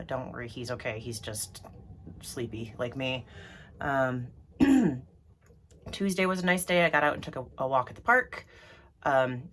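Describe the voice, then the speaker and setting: Low-voiced woman vlogger, stuffy and throaty from allergies, loose rambling delivery. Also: fairly bright tone, slight background noise. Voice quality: throaty, froggy. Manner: rambly, many ums and restarts. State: congested from allergies. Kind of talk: rambling casual vlog.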